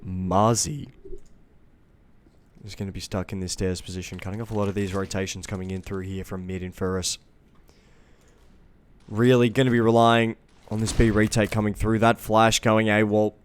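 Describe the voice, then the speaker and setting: A man casting a live esports match on a headset, his play-by-play building to loud excitement on big plays. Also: fairly low pitch, moderate pace, pauses often, slight background noise. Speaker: esports caster.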